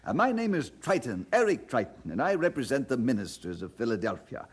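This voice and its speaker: An actor playing a fussy, deferential church representative in a radio drama, effusive and easily flustered. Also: male voice, medium pitch, big pitch swings, brisk, husky.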